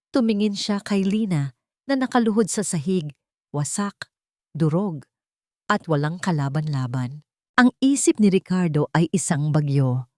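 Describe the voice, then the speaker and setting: A woman's voice: mid-range voice, a little mellow, dry recording, studio quality. A woman narrating a scripted audio drama as a storyteller, in a dramatic style.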